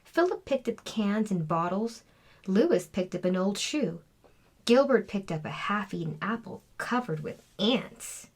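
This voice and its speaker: A woman's voice: medium pitch, big pitch swings, unhurried. A woman reading a children's storybook aloud as scripted narration. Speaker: children's book reader.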